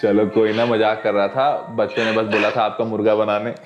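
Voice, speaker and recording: A man's voice: lowish voice, level tone, fairly quick, lo-fi sound. Playful man over background music. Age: 30s. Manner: playful, teasing. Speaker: online teacher. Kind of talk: casual prank phone call chat. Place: indoor teaching studio.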